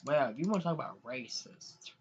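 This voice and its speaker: A man's voice: fairly high pitch, animated, brisk. A young man from the United States chatting casually to viewers while sharing his screen, rambling and restarting often. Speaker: YouTuber. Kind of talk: casual screen-share vlog talk. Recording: dull lo-fi mic with faint noise.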